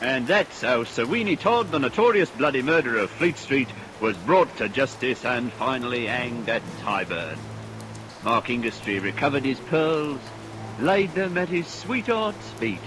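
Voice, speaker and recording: Genial old-radio storyteller: mid-pitched, arch, theatrical, with loud score and rain underneath. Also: male voice, sing-song, a little slow, poor audio. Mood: arch, satisfied. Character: genial male storyteller guiding a lurid Victorian tale. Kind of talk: 1940s radio scripted narration. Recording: vintage radio recording, rain over it. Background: loud music, rain.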